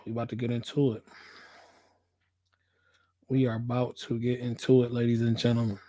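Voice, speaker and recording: An American man hosting a casual livestream, laid-back and slangy, repeating his phrases, with a voice hoarse from a cold he is getting over. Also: mid-range voice, level tone, moderate pace, mellow, no echo. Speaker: livestream host, working nurse.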